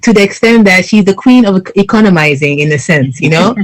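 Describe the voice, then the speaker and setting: Male panelist, high voice, lively, chatty, does little impressions. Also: brisk, faint music behind, rough recording. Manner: lively storyteller, mimics his parents. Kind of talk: casual discussion.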